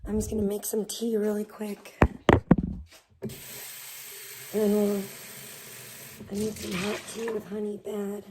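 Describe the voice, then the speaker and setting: A woman live streamer, hoarse and losing her voice, chatting bubbly and rambling with her viewers while making tea in her kitchen. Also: mid-range voice, monotone, a little slow, pauses often, heavy background noise. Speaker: live streamer greeting chat. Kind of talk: casual live stream chat.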